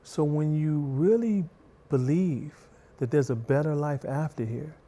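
A middle-aged man, a guest on an interview show, speaking in a soft-spoken, gentle way with a slow, even cadence. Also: fairly high pitch.

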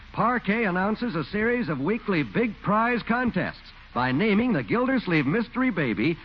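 A male radio announcer delivers an emphatic 1940s contest pitch. His voice is high and lively in intonation. The sound is dull, with faint hiss from an old broadcast.

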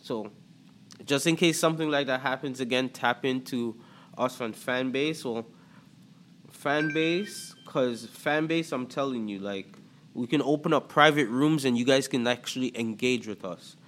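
A man's voice: mid-range voice, a bit bright, a little hiss or hum. Casual Toronto-accented man, podcast host. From Antigua and Barbuda. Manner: loose, repetitive, with many "like" fillers and pauses. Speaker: podcast host and life coach.

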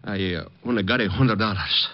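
An actor playing a worried, good-natured immigrant butcher with halting broken English, in 1950s radio drama style. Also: male voice, lowish voice, close to an everyday voice. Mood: anxious, pleading. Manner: halting, broken grammar, easily puzzled. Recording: muffled old radio recording.

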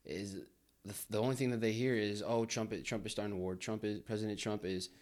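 A young man who grew up in Brazil, hosting a casual podcast interview, talking in halting bursts with repeated words. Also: lowish voice, steady tone, darkish tone. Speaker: podcast host.

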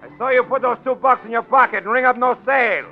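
An actor with an American accent playing a bullying, hot-tempered gangster boss, blustering and commanding in 1940s radio drama style. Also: male voice, very high-pitched, fast talker. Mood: threatening. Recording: muffled 1940s radio broadcast, faint music.

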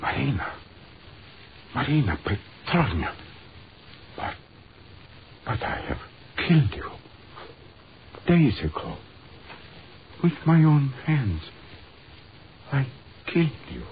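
An actor playing a cruel Russian commandant who pleads and stammers in terror, in 1940s radio horror style. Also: male voice, medium pitch, sing-song, slow, long pauses. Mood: horrified disbelief. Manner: imploring, stammering, melodramatic. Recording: muffled, hissy 1940s radio recording.

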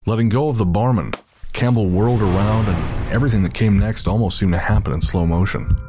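A man narrating a scripted horror audio drama in a measured, steady way. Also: fairly low pitch, background noise. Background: music.

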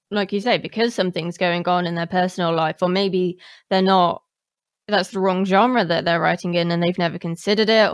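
A woman's voice: lowish voice, smooth, no echo. Woman podcast host, close dry sound. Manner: informal, agreeing and paraphrasing. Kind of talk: conversational interview.

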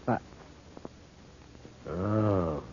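An actor playing a possessive, menacing jilted boyfriend who sneers and insists, in 1940s radio drama. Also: male voice, deep voice, extremely slow, darkish tone, slight background noise. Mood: smug, threatening. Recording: dull old radio recording.

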